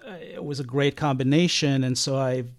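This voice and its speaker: A man who grew up in Israel, a sound engineer guest talking in a casual interview, pausing often with fillers and restarts. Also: medium pitch, fairly even intonation. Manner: halting, many fillers and restarts.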